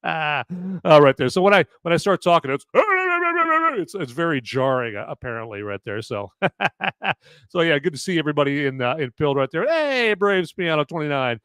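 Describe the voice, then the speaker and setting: A man hosting a live movie review podcast, with a loud voice that carries, who rambles casually with lots of fillers and restarts. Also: fairly high pitch, sing-song, moderate pace, clean tone, no echo, clean studio sound.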